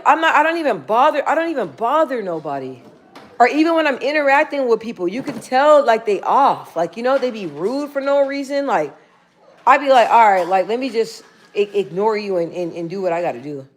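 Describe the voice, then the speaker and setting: A woman chatting casually on a livestream, reading out viewer comments and talking aside to people in the room, rambling and laughing often. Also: mid-range voice. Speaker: livestream host.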